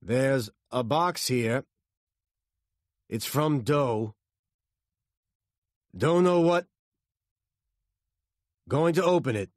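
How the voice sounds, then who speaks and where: A man's voice: lowish voice, huge pitch swings, extremely slow, darkish tone, slightly rough, dry recording, studio quality, full character voice. A man voicing a stern police detective in an audiobook, terse and commanding. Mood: urgent report.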